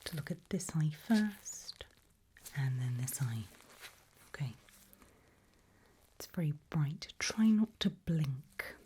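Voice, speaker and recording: A woman whispers very breathily and unhurriedly, as if conducting a medical exam for ASMR. Her tone is low and soft, polite and calmly unsettling, with slow, careful instructions. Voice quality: breathy, mostly unvoiced whisper. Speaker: ASMR performer playing a medical examiner.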